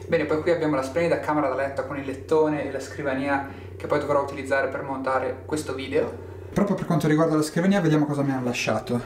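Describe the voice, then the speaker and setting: A man's voice: mid-range voice, steady tone, quick pace, a little hiss or hum. A young man talking to a handheld camera as a travel vlogger, chatty and upbeat, speaking directly to his viewers. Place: mountain outdoors and hotel room. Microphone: handheld camera at arm's length.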